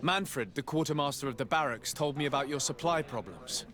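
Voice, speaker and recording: A man's voice: medium pitch, animated, fairly quick, a bit bright, a little hiss or hum, lightly acted. An actor voicing a composed, courteous nobleman diplomat in a video game, polite and formal.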